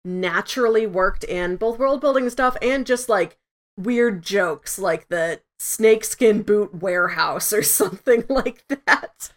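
A woman's voice: medium pitch, a little slow. A woman hosting a podcast, explaining her opinions in an enthusiastic, casual conversational way. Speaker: podcast host. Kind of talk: casual review discussion.

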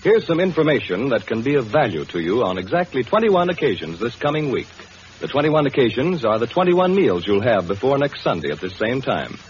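Animated 1930s radio ad announcer, a man. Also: medium pitch, fairly quick. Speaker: commercial announcer. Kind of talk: scripted 1930s radio advertisement. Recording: muffled, faintly hissy 1930s radio recording.

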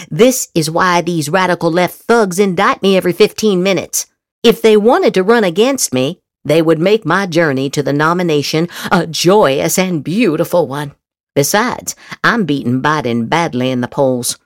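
A woman's voice: medium pitch, no room sound, studio quality. A woman podcast host doing a theatrical dramatic reading of social media posts, with exaggerated, emphatic inflection.

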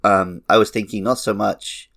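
A man's voice: lowish voice, fairly even intonation, moderate pace, gravelly, fairly bright tone. A man co-hosting a podcast, casually and enthusiastically discussing films and games in fan detail. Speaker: podcast co-host. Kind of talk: casual film and game discussion.

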